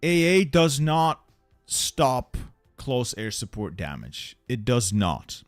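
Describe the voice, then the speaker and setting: A man's voice: mid-range voice, sing-song, slow pace, dry recording, studio quality, faint music behind. A young Dutch man narrating his strategy game play, muttering and swearing in frustration as things go wrong. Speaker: gaming YouTuber.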